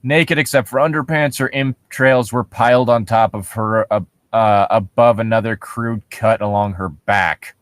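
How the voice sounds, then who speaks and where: A man's voice: on the low side, steady tone, measured pace, few pauses, no echo. A man co-hosting a comedy show, casually reading and riffing in a sardonic, exasperated, sweary way. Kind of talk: casual riffing while reading horror stories aloud.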